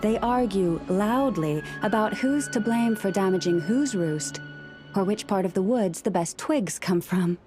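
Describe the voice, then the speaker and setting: A woman playing a gentle, composed young woman in a video game, with measured, articulate and gently wry delivery. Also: medium pitch, expressive, music in the background, natural voice. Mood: reflective, resigned. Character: gentle, composed young woman who understands animal speech.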